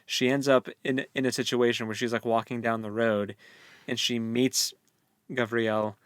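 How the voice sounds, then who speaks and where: A young American man chats casually as a co-host, in a close, dry recording. His pitch sits in the middle for a man, and he retells things in a jokey, conversational way. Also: flat delivery, normal speed, a bit bright, clean tone, dry recording. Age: late 20s. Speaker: podcast co-host. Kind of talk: casual book club chat.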